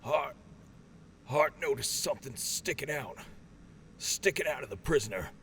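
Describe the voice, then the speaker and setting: An injured, shaken corporal, voiced by a man in an audio drama. He speaks in halting bursts with a gravelly voice, and faint noise sits behind him. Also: medium pitch, expressive, a bit bright. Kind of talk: fan audio drama acting.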